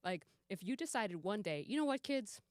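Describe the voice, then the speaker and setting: A woman in her forties chatting casually on a podcast, an animated storyteller who swears offhandedly and acts out dialogue. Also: mid-range voice, normal speed, no room sound, studio quality. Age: 40s. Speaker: podcast guest. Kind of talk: casual chat.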